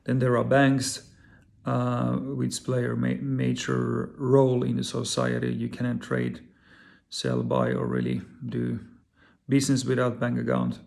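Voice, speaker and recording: Gravelly Finnish man, halting English. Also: mid-range voice, darkish tone. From Finland. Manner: earnest, halting with many fillers and repeated words. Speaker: vlogger. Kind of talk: personal direct-to-camera talk.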